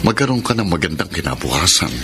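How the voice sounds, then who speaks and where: An earnest, protective father in middle age in a radio drama. His voice is on the low side with lively inflection, and there is clear background noise. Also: quick pace. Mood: earnest coaxing. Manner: earnest, firm. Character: firm, protective middle-aged father of grown son.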